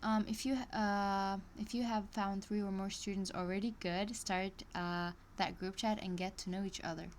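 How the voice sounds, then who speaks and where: A woman's voice: medium pitch, flat delivery, moderate pace, hardly any pauses, a little hiss or hum. A woman live streaming as she reads an online course aloud, breaking off into chatty asides and small laughs. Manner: hesitant, many ums and restarts. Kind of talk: casual live-stream reading with commentary.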